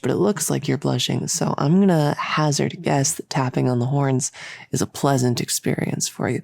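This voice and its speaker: Creaky, rambling, earnest cultist played by a voice actor. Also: medium pitch, darkish tone, no room sound, natural voice. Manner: halting, rambling, casual, awkwardly optimistic. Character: earnest, rambling, chirpy cultist scientist, gently bossy. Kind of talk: roleplay audio monologue, intimate casual delivery. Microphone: close, dry studio-style microphone.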